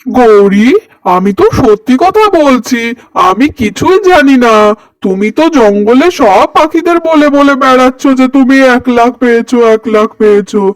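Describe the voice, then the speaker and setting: A woman's voice: a little high, expressive, moderate pace, no echo, distant mic, lightly acted. A woman voicing a weary, defensive husband crow in a cartoon, sounding exasperated as he denies blame.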